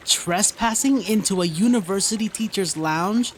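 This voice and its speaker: A woman's voice: medium pitch, normal speed, slight background noise, lightly acted. A woman playing an earnest young heroine in an audio drama, patiently explaining a secret to a friend.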